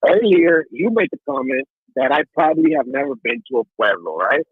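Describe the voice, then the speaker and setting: A man's voice: mid-range voice, expressive, brisk, breathy edge, no room sound. A man from Mexico arguing heatedly on a live stream, stammering, repeating words and pressing with "right?". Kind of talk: heated argument. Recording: thin phone-like line.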